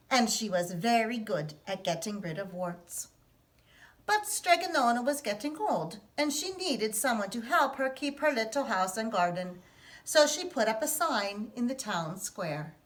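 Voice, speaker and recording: A woman reading a children's picture book aloud in a put-on Italian accent, telling it in a lively, expressive way. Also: a little high, a little slow.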